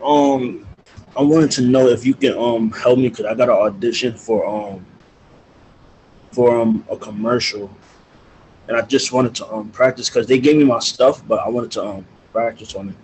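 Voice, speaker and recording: A man's voice: medium pitch, steady tone, darkish tone. Hesitant man on a noisy mic. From New York. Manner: halting, hesitant. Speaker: acting student. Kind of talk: casual, tentative question. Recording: noisy mic with room ambience.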